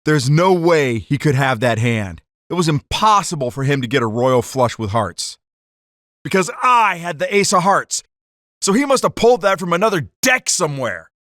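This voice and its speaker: Casting: a man to play a hot-headed card cheat, animated storyteller, higher-set voice, 1940s radio crime drama. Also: normal speed, dry recording, studio quality. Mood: narrating, bitter.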